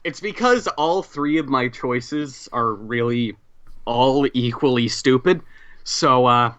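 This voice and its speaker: A man playing a tabletop game, chatting casually and haltingly as he thinks aloud. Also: medium pitch, fairly bright tone, clear, resonant tone, muffled recording.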